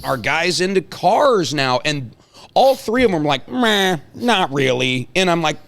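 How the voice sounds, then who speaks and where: A man's voice: on the high side, sing-song, a little slow, creaky, fairly bright tone. A man hosting a casual conversational interview in a chummy, encouraging manner. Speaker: host, interviewer.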